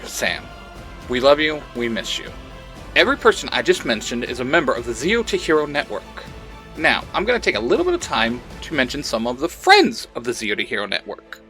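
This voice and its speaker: A man hosting his own podcast, reading out credits and giving shout-outs. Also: mid-range voice. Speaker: podcast creator and host.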